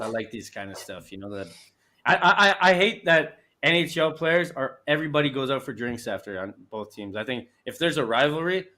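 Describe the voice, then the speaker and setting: Bright-voiced rambling Canadian man. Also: medium pitch. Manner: rambling, restarts often, laughs, swears casually. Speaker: sports show host. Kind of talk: casual sports chat with a co-host.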